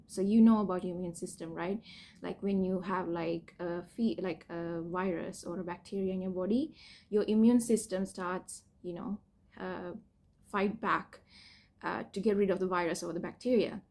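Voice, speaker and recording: A young woman from Sri Lanka, a PhD student, explaining her cancer treatment and story in a candid personal vlog, hesitant, with many fillers and soft laughs. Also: mid-range voice. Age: young adult.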